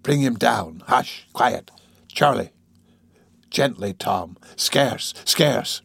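A man's voice: medium pitch, expressive, drawn-out words, gravelly, fairly bright tone, husky, dry recording, studio quality, exaggerated voice. A man voicing a sly, placating old criminal in a storybook reading, soothing and hesitant. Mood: hushed urgency.

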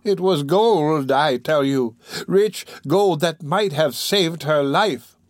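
A man's voice: on the high side, slow pace, dry recording, clean studio sound, voice pushed up. A man voicing a dying old pauper woman who rambles in guilt, groaning and agitated, in a storybook reading. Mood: agitated confession.

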